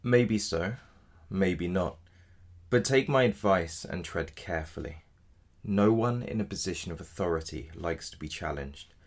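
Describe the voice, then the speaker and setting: Defence lawyer for a male actor: very low, creaky voice, measured, cautious, earnest. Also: animated. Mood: cautioning. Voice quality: deep, gravelly. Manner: formal, measured advocacy. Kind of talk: audio drama acting.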